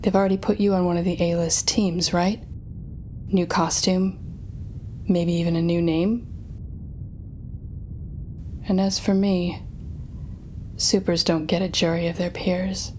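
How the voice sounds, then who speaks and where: A woman's voice: fairly low pitch, flat delivery, darkish tone, a little hiss or hum, close to an everyday voice. A woman playing a sardonic, smug telepathic supervillain, taunting and theatrical as she speaks to the listener in an audio roleplay drama. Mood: grim, needling. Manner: taunting, theatrical, drawn-out teasing phrasing.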